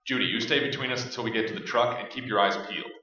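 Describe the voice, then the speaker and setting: A man's voice: medium pitch, steady tone, racing, sharp tone, close to an everyday voice. A man playing a resolute, blunt, commanding survivor in a staged horror radio play. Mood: urgent commanding. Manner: urgent, blunt. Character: resolute, commanding male survivor of monster siege. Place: live stage performance. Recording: distant, very echoey, muffled stage recording.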